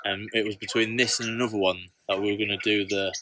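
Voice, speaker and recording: A man co-hosting a fishing channel, chatting casually outdoors at a fishing lake and rating a beer, with a relaxed, rambling manner full of restarts. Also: lowish voice, fast. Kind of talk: casual chat. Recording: outdoor recording with loud birdsong. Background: loud birdsong.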